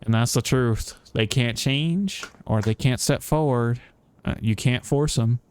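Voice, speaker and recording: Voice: mid-range voice, unhurried, darkish tone. A game streamer giving casual, off-the-cuff commentary while playing. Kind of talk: casual live gameplay commentary. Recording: clearly noisy background with clicks.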